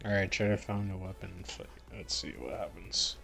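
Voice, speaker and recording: A man's voice: deep voice, a little fast, vocal fry, sharp tone, faint music behind. A man hosting a game livestream, bantering casually and in slang with his chat in a laid-back manner. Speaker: livestream host.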